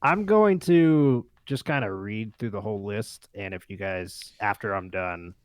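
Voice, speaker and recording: A man's voice: lowish voice, unhurried, no echo. A man hosting a casual podcast, chatting with the group and reading through a list. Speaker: podcast host.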